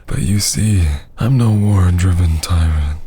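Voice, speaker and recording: A man playing a haughty, menacing vampire lord in an ASMR roleplay, breathy and husky, often dropping to a whisper, with theatrical archaic phrasing. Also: low-pitched, measured pace, mellow, full character voice. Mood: intrigued, brooding.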